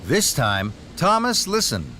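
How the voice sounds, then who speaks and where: A man's voice: on the high side, wildly swooping pitch, slow pace, pushed and bright, a little hiss or hum. A man narrating a scripted children's story as the storyteller.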